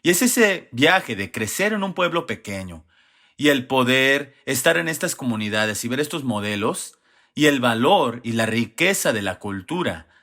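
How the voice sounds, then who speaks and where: Un hombre de mediana edad de México, con acento mexicano, que habla como director ejecutivo en un panel, contando su historia de forma pausada y reflexiva. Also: male voice, medium pitch, bright, forceful tone. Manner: measured, reflective storytelling. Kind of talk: panel conversation.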